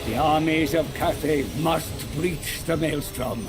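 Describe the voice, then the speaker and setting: An actor playing a cunning old sage and guide in a video game, grave, grandiose and deferential, narrating theatrically. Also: male voice, a little high, slow pace, over loud music. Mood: portentous. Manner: grave, portentous. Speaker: narrator and adviser character.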